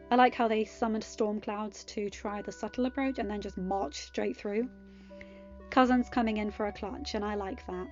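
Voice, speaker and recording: A woman narrator with a fairly high voice reads fiction aloud in an expressive, informal way. The sound is a little dull, and music plays behind her. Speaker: podfic narrator. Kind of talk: expressive story narration with chatty asides.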